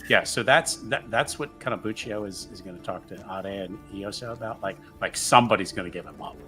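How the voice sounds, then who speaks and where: A man's voice: lowish voice, a little fast, sharp tone, soft background music. A man playing a tabletop roleplaying game, chatting casually and repeating himself as he improvises his plans.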